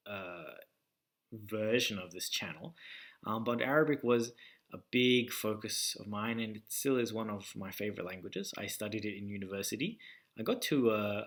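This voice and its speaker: A young man casually talking to his channel about learning languages, pausing often with ums and uhs as he thinks aloud. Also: fairly low pitch, a bit bright. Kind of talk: casual talking-head vlog.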